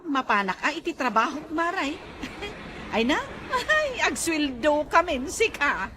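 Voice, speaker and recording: A woman's voice: sits high, sing-song, measured pace, background noise. A woman with an Ilocano accent playing a chirpy, boastful, gossipy village neighbour in a comedy drama. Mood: teasing, advising. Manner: giggly, teasing, gossipy.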